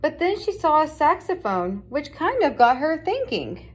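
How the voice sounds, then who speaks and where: A woman with a fairly high voice narrates a children's storybook. She is reading aloud from a scripted tale meant for young listeners. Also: big pitch swings, a little slow. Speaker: storybook reader.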